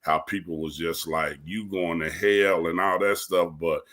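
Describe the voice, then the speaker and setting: A man's voice: low voice, steady tone, measured pace, a bit bright. A man with an American accent telling stories in a casual panel chat, acting out people's reactions as a guest. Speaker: actor, interview guest.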